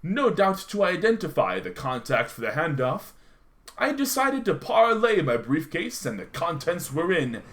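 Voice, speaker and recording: A man's voice: on the high side, huge pitch swings, measured pace, a bit bright, heavily acted. A man playing a hardboiled private detective, narrating his noir case in a wry, slangy radio-play style. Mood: wry, world-weary. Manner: dramatic, slangy, wry storytelling. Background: music.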